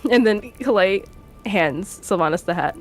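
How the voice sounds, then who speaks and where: A woman improvising narration of her character's actions in a tabletop role-play show, casual and halting, with music behind her. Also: mid-range voice, expressive.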